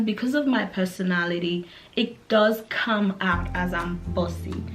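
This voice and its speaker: Chatty young African woman vlogger. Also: medium pitch. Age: 20s. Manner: chatty and relaxed, with fillers and restarts. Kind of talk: casual chat to camera.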